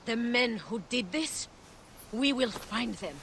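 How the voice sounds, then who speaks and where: A woman's voice: on the high side, expressive, slow, creaky, fairly bright tone, a little hiss or hum, exaggerated voice. A woman playing a compassionate, troubled healer in a video game, speaking earnestly and solemnly. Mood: solemn concern. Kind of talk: dramatic video game acting.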